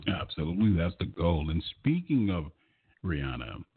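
A man's voice: sits low, sing-song, moderate pace, background noise. A man hosting a radio music interview. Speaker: radio host. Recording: muffled, narrow phone-like sound.

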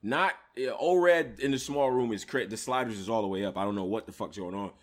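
A man talking battle rap as a commentator in casual, slangy panel banter, with an animated, expressive delivery. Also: on the low side, fairly quick.

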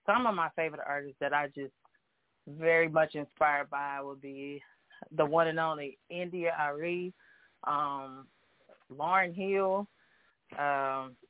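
A woman from Oklahoma, a guest singer calling in, talking in a soft, halting way with many fillers. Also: sits low, no echo. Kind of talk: phone-in interview. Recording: tinny phone line, dry.

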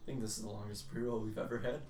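A man with a loud, projecting voice co-hosting a podcast, cracking dry jokes in casual banter. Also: on the low side, level tone, racing, far from the mic. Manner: dry, wisecracking. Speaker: podcast co-host. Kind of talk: casual banter among friends. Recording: roomy echo, set back from the mic.

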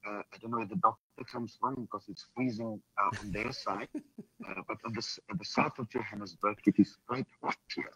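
A hoarse South African man, a pastor, introducing himself on an online panel, with frequent filler pauses. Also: on the low side, steady tone, bright, forceful tone, dry recording, low-quality recording.

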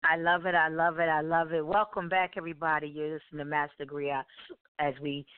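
A woman's voice: low voice, flat delivery, clear, resonant tone. Rambling female radio host, tinny sound. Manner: rambling, halting introductions. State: losing her voice. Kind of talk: live radio interview. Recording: tinny phone-like radio audio.

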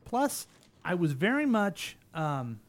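A man's voice: high voice, big pitch swings, slow. A man hosting a small radio talk show in a studio, rambling and joking with his co-hosts and laughing often. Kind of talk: casual studio banter.

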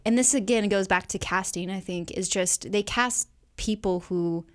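A woman speaking as a guest co-host in a casual discussion, conversational and softening her points as she goes. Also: medium pitch, moderate pace, clean tone.

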